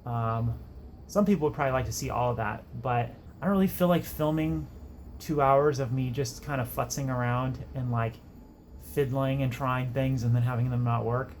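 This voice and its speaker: A man narrates a hands-on electronics project in a casual, unscripted ramble. His mid-pitched voice has a lot of gravelly creak, and he tends to poke fun at his own work. There is faint noise behind him. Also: moderate pace. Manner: rambling, self-deprecating. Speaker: electronics hobbyist host. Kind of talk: casual workbench build narration.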